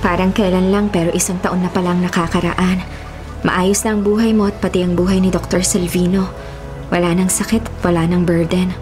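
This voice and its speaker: Woman acting a kindly, calm, reflective woman. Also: on the low side, moderate pace, natural voice. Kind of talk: Filipino radio drama acting. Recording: music under the voice.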